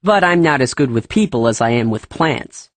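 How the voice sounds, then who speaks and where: A woman's voice: a bass voice, lively intonation, normal speed, no room sound, pitched below the performer's own voice. A woman voicing a blunt, plain-spoken young male gardener who talks in clipped, folksy words, reading a storybook.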